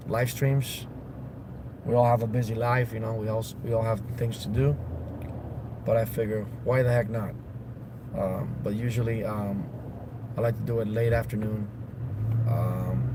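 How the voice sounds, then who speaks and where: A man with a raspy voice from a cold, chatting casually and rambling on a livestream while driving to work. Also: fairly low pitch. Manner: rambling, repeats himself, upbeat. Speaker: crypto channel host. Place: in a car on the road. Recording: loud road and car noise.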